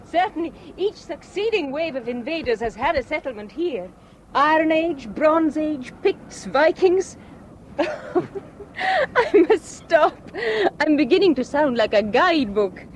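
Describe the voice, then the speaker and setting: An earnest young Shetland woman with a light Shetland Scots accent talks eagerly about her island's past. Her voice is high and very animated, heard over a faint hiss. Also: slow pace, full character voice. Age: young adult. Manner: earnest, enthusiastic, self-deprecating. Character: bright young Shetland archaeology graduate. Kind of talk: 1960s radio drama acting.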